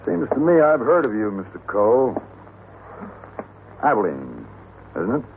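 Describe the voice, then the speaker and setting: Self-assured, urbane gunfighter for radio western, deep measured delivery, noisy vintage recording. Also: male voice, huge pitch swings, phone-quality sound, heavily acted. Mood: cool. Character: cool, calculating male hired gunfighter, mature and self-assured. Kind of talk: 1950s western radio acting and narration.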